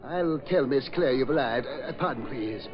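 An actor playing a forgetful, polite old butler with formal, dithering speech in an old radio comedy-drama. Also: male voice, mid-range voice, moderate pace, music in the background, natural voice. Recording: muffled old radio recording.

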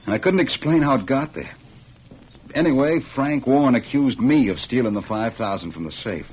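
An actor playing a wronged young cowboy ex-convict in a vintage radio western, speaking plainly and earnestly. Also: male voice, mid-range voice, expressive, moderate pace, breathy edge. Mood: bitter, earnest. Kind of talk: 1940s-50s radio western acting. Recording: muffled, hissy old radio recording.

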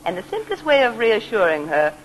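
An actress playing a commanding, wry female advertising executive in a 1940s radio comedy, with a brisk, bossy delivery. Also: on the high side, huge pitch swings. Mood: confident, teasing. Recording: noisy old radio broadcast recording.

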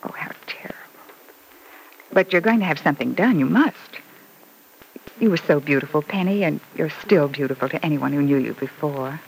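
A woman's voice: sits low, animated, normal speed, gravelly, long pauses. A woman playing a gushing, sweetly catty mother in an old radio soap, effusive and theatrical with a cooing sweetness. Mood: concerned, catty. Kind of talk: old-time radio soap opera acting. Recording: noisy vintage radio recording.

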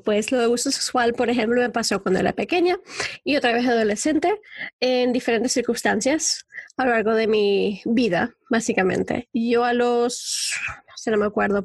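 A woman's voice: on the high side, no room sound. A young woman from Venezuela, a guest speaking openly and affectionately about her life. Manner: affectionate, open, chatty with fillers. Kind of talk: candid interview talk.

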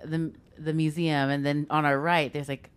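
A young woman from California with a Californian accent, telling stories in a casual, animated podcast chat full of "like". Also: low voice, moderate pace, clean tone. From Southern California. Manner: animated storyteller, lots of "like", laughing. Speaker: podcast co-host.

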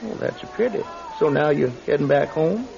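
An actor playing a genial, pious older traveling preacher in a radio drama, with courtly, unctuous phrasing. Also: male voice, on the high side, animated, normal speed, gravelly. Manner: courtly, unctuous, quaintly formal. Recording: slightly dull radio recording.

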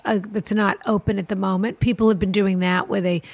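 A woman's voice: mid-range voice, steady tone, brisk, creaky, a little mellow, no echo. A woman co-hosting a chat show, giving enthusiastic, emphatic advice.

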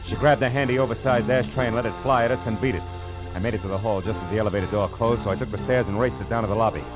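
An actor playing a wry, tough private detective, clipped and world-weary, in a 1940s radio drama. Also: male voice, on the low side, fairly even intonation, racing, natural voice, rough recording. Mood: wry, narrating. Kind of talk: 1940s radio drama acting and noir narration. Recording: vintage radio recording with music.

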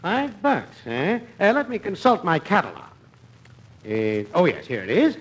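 An actor playing a grandiose, wheedling schemer in 1940s radio comedy, coaxing theatrically with little stammers. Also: male voice, high voice, wildly swooping pitch, measured pace, husky, heavily acted, poor audio. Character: fast-talking, wheedling, grandiose male swindler friend. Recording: vintage radio recording with faint noise.

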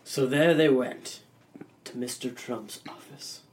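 A man's voice: mid-range voice, slow pace, darkish tone, a little hiss or hum. A man narrating as game master and speaking solemnly in character. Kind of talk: narrating a comedy roleplay game.

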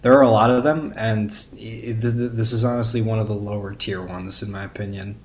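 A man's voice: lowish voice, little pitch movement, fairly quick, a little hiss or hum. A young man chatting casually as a podcast guest, candid and opinionated. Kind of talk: casual chat.

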